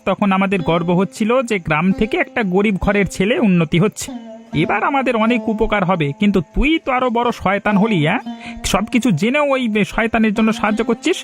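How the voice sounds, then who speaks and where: An actor voicing a stern older village uncle in a cartoon, scolding and rallying people in a quick, forceful way. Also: male voice, high voice, soft background music, lightly acted. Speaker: village elder. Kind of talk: cartoon voice acting.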